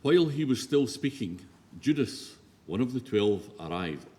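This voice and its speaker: Guy narrating scripture real slow and solemn, lowish voice, tons of church echo, bit of hiss. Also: big pitch swings, a little mellow. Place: large reverberant church. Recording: very echoey church, faint noise.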